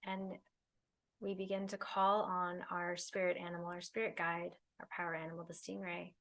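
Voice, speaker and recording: A woman leading a guided meditation, speaking soothingly in unhurried phrases with long pauses. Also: fairly low pitch, level tone, fairly bright tone. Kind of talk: guided meditation, spoken slowly to listeners.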